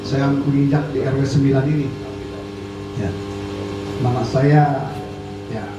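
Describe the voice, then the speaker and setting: A man's voice: medium pitch, steady tone, unhurried. A man from West Java giving an informal, chatty speech to a community radio gathering as an army district officer, peppering his talk with fillers. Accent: Indonesian, not typically Sundanese. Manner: chatty, frequent "ya kan" and "nah" fillers. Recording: echoey room, distant mic, music behind.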